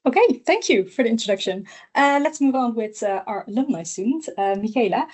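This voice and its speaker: Young woman hosting a uni info session, higher voice, lots of ums, friendly. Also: lively intonation, a little fast, darkish tone, slightly rough. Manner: friendly, with frequent fillers. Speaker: host, education officer. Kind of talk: online info session moderation. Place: university room with a small panel.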